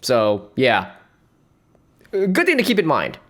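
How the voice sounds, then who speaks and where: A man's voice: medium pitch, huge pitch swings, slow, a bit bright. A man streaming, giving rambling, playful reaction commentary on a dating show and laughing often.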